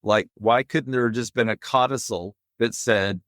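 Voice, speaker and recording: A man's voice: mid-range voice, fairly even intonation, normal speed, a bit bright, no room sound, clean studio sound. A middle-aged man hosting a podcast, reflectively telling a personal story in casual conversation. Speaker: podcast host.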